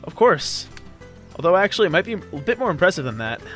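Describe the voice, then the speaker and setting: High-voiced man hosting a game playthrough. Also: very animated, quick pace, fairly bright tone, soft background music. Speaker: host of a video game let's play. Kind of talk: casual joking gameplay commentary with a co-commentator.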